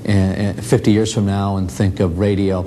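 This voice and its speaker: A man giving reflective interview commentary as an author, with halting, deliberate, measured phrasing. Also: flat delivery, mellow, faint music behind. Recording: clear background noise with low rumble.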